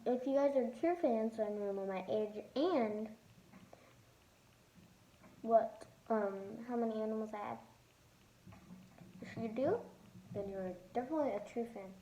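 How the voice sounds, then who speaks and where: A woman's voice: on the high side, measured pace, long pauses, mellow, muffled recording. A young girl reading a children's novel aloud with casual asides, stumbling over and repeating words as she goes. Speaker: host of a read-aloud channel. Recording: roomy echo, faint background noise.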